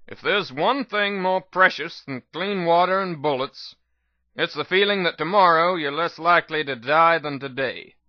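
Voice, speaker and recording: A man voicing a folksy old wasteland doctor, pitched up. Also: normal speed, no room sound, dull audio, close to an everyday voice. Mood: weary, earnest. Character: scrawny old male unicorn wasteland doctor, grimly amused, world-weary. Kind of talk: dramatic reading of fan fiction.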